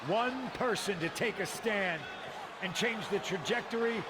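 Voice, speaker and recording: A man playing a cocky rebel wrestler and faction leader, giving a boastful promo speech with dramatic pauses over a loud arena crowd. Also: high-pitched, heavy background noise. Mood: boastful, defiant. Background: roaring arena crowd.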